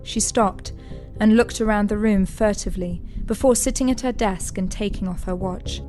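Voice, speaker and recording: A woman reading the narration of a sci-fi radio drama in a measured, descriptive way. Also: medium pitch, darkish tone. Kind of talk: scripted narration. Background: clear music bed.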